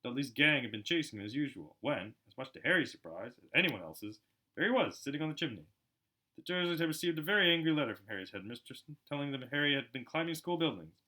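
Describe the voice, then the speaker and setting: Animated, bright-voiced man reading a story. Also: medium pitch, quick pace, poor audio. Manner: animated, dramatic. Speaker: amateur audiobook reader. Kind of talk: expressive storybook narration.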